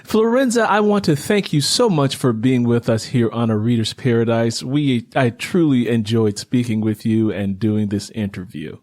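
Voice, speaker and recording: A man's voice: medium pitch, normal speed, few pauses, a little mellow. A man hosting an interview podcast in a casual, conversational style. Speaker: podcast host, author and audiobook narrator.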